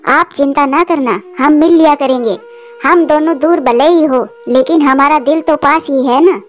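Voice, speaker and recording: High-pitched, pleading mother bird voiced by a woman. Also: moderate pace, soft background music, close to an everyday voice. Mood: tearful pleading. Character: ageing mother bird, soft-hearted, pleading and worried. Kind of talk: Hindi cartoon voice acting.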